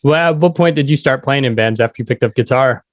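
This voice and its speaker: A man's voice: mid-range voice, quick pace, dry recording, studio quality. A man hosting a podcast, chatting casually and asking interview questions.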